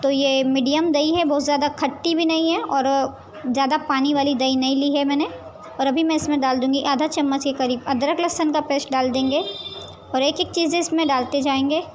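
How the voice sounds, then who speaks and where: A woman with a high, light voice talks viewers through a recipe step by step. Her delivery is calm and explanatory, often pointing things out as she goes. A faint hiss of background noise sits under the voice. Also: fairly quick. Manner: calm, explanatory, addresses viewers directly with frequent "see this". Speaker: home cooking channel host. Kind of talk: step-by-step cooking demonstration voice-over. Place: home kitchen.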